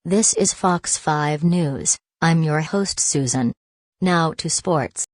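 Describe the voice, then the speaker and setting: A woman playing a formal, measured TV news anchor reading a scripted breaking news bulletin. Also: low-pitched, no room sound. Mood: serious, composed.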